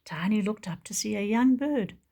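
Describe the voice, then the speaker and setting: A woman teacher reading a picture book aloud for young children in an expressive storytelling manner. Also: medium pitch, normal speed, vocal fry. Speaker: preschool teacher.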